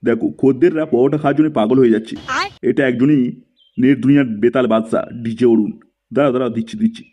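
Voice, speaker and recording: A man's voice: mid-range voice, steady tone, fast, slight background noise, natural voice. A man voicing a pompous, bragging paratha seller who fancies himself a star DJ in a comedy cartoon. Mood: boastful. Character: boastful male paratha seller and self-styled celebrity DJ. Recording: muffled lo-fi audio with music underneath.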